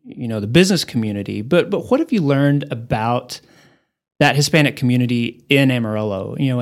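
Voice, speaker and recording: A man interviewing a guest, asking long, thoughtful questions that he often rephrases mid-sentence. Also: mid-range voice. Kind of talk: oral history interview.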